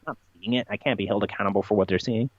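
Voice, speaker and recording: A man's voice: fairly low pitch, steady tone, rapid-fire. Man chatting in dull, lo-fi audio. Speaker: podcast guest. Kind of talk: conversational interview talk, playful tongue-in-cheek persona.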